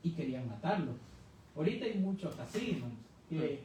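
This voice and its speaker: High-voiced man teaching in a very echoey room. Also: sing-song, moderate pace, dark tone. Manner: didactic, poses rhetorical questions to listeners. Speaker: church Bible teacher. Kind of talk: conversational expository Bible teaching. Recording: very echoey room, distant mic, faint noise.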